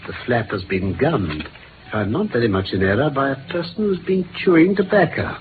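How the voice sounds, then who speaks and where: An actor playing a shrewd, self-assured English detective in a 1950s radio drama, speaking precisely and theatrically with a British accent. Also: male voice, mid-range voice, very animated, fairly quick, close to an everyday voice. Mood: musing, assured. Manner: precise, theatrical, deductive pronouncing. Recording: noisy, narrow-band old radio recording.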